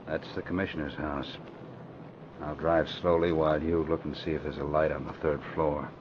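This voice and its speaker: A man's voice: a bass voice, little pitch movement, normal speed. An actor playing a wary, bossy male racketeer in a 1940s radio drama, speaking in short, clipped orders. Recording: dull hissy old radio recording.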